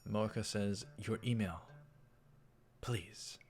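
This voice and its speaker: A low-voiced man narrates a visual novel for a let's play. His delivery is halting, with repeated words and offhand interjections. Faint game music plays behind him. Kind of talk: reading visual novel text aloud with casual commentary.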